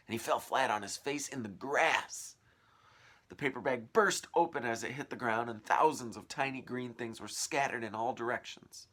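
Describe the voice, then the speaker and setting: Male storyteller, slightly deep voice, expressive and dramatic read-aloud delivery for children.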